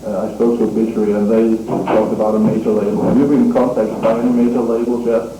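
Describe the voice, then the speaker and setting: Dark-toned, hesitant male interviewer. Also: lowish voice, flat delivery, soft background music. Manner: hesitant, halting, many fillers. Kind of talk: interview questions. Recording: echoey room from far off.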